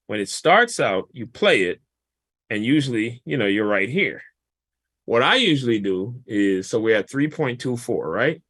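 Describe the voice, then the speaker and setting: A man, a guest mix engineer, casually walking through a vocal mixing session in a relaxed, explanatory, colloquial way. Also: on the low side, dry recording.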